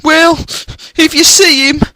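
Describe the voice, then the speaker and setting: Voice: high voice, steady tone, takes it slowly, sharp tone, no room sound, studio quality, pitched above the performer's own voice, exaggerated voice. A reader voicing a tearful, anxious young boy who wails, in a storybook reading. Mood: wailing. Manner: wailing, miserable.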